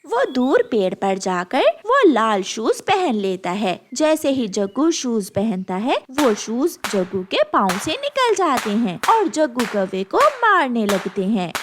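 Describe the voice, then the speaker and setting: A woman's voice: fairly high pitch, big pitch swings, takes it slowly, few pauses, noisy background. A woman narrating a scripted children's story as the narrator.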